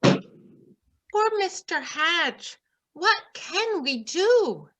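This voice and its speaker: A woman's voice: extremely high pitch, very animated, very slow, a bit bright. A woman reading children's stories and verse aloud expressively. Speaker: reader in a readers theatre.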